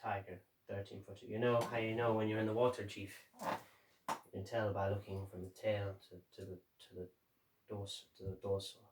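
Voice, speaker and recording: A man playing a grim, haunted sailor who survived a sinking, reciting a dramatic monologue in halting, measured phrases with deliberate pauses. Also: lowish voice, little pitch movement, a little hiss or hum, close to an everyday voice. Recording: roomy echo, distant microphone.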